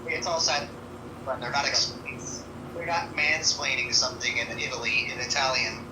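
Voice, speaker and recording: A man's voice: mid-range voice, fairly even intonation, normal speed. A man in casual chat, mostly chiming in with short remarks. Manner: short interjections. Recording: distant mic, echoey room, noise.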